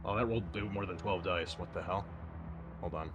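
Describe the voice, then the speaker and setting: A man's voice: sits low, fairly quick, lo-fi sound, noisy background. A man working as game master, narrating a tabletop game and explaining its rules, with casual hesitant asides.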